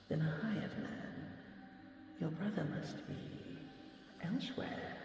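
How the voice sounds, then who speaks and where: A woman voicing a possessive, magical dragon queen, tender and regal, with breathy, formal, coaxing speech in a fantasy audio roleplay. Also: low-pitched, mellow, heavy reverb, long reverb tail, recorded from a distance. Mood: musing, teasing. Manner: formal, measured, coaxing, slightly archaic phrasing.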